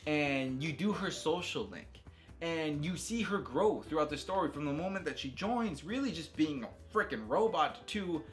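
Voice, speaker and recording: A man's voice: a little high, animated, normal speed, fairly bright tone, faint music behind. A man giving casual, unscripted video game commentary as a host, talking enthusiastically with slang and emphatic exclamations.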